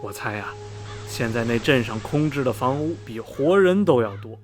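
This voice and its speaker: Man acting animated, gossipy railway station worker. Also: mid-range voice, moderate pace, background noise, natural voice. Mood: gossipy storytelling. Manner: folksy, rambling, full of sighs and interjections. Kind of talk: Mandarin radio drama dialogue, storytelling. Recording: music behind the voice. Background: background music.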